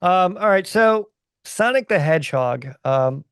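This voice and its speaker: A man in a casual podcast conversation, speaking in a halting, measured way as he thinks aloud. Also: high-pitched, lively intonation, clear, resonant tone, dry recording, clean studio sound. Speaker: podcast co-host or guest.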